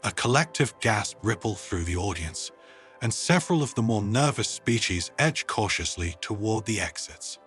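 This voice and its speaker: A man's voice: on the low side, lively intonation, normal speed, a bit bright. A man narrating a scripted science fiction story. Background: music.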